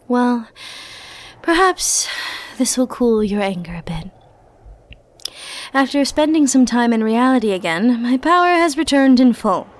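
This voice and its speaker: Woman acting a soft, high-voiced, dangerous flirty sorceress. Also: sing-song, measured pace. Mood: smug, teasing. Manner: soft, intimate, teasing, theatrical delivery with breathy murmured asides. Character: powerful flirty dangerous immortal sorceress, possessive and condescending. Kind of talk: ASMR roleplay voice acting.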